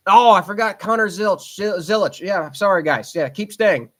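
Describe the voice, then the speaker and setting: A man's voice: high voice, normal speed, fairly bright tone. Rambling young man hosting a podcast. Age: 20s. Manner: rambling, frequent fillers and restarts; enthusiastic fan talk. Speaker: podcast host. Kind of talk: casual solo podcast chat.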